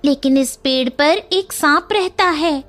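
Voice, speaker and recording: A woman voicing a small motherly female bird in a cartoon storybook tale, anxious and emphatic, quick to anger. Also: high voice, a bit bright, soft background music, pitched above the performer's own voice.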